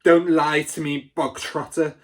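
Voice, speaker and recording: A storybook reader voicing a huge, cruel, tyrannical headmistress who barks and booms insults. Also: lively intonation, slow, a little mellow, breathy edge. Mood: furious, bellowing. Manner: barking, booming insults. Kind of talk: storybook read aloud.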